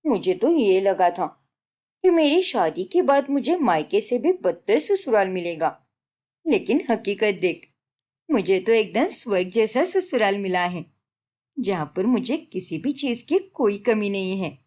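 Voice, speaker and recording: A woman's voice: mid-range voice, very animated, a little slow, darkish tone. A woman voicing a showy, boastful young newlywed daughter-in-law in a story, insistent and bragging, dropping in English words. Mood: smug.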